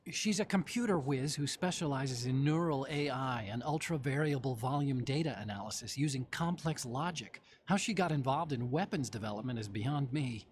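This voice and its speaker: A man's voice: mid-range voice, lively intonation, normal speed, few pauses, slight background noise, lightly acted. An actor playing an earnest, brainy male computer engineer who explains things carefully in a video game drama. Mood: earnest, explanatory. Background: game music.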